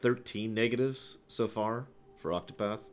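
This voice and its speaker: A man's voice: on the low side, normal speed, background noise. A man hosting a casual livestream about games, rambling and thinking aloud as he talks to his chat. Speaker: livestream host answering viewer questions.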